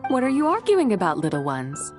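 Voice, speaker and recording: A woman's voice: mid-range voice, wildly swooping pitch, brisk, loud music behind the voice. A woman voice acting a proud, formal female mentor in a video game, speaking in a lofty, theatrical way. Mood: amused. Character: proud, ancient-minded female mentor, easily offended by children.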